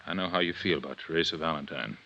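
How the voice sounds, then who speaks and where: A deep gruff american police inspector, fatherly, very bright edge, old radio show. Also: quick pace, highs cut off, full character voice. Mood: sober, sympathetic. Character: steady, fatherly police inspector, firm but sympathetic. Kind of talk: 1950s radio acting.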